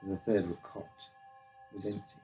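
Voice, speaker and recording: A man with a husky voice reading his own first-person uncanny story as a scripted narration. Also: deep voice, little pitch movement, takes it slowly, warm tone, soft background music.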